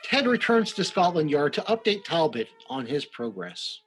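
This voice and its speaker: A man's voice: fairly high pitch, big pitch swings, moderate pace, breathy edge, faint music behind. A man narrating a radio play in a scripted storytelling style.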